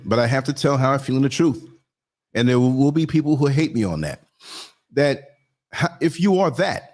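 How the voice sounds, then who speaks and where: A man's voice: medium pitch, darkish tone. A man hosting a live talk show, speaking earnestly and repeating words as he pushes his point. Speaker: host. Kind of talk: casual chat.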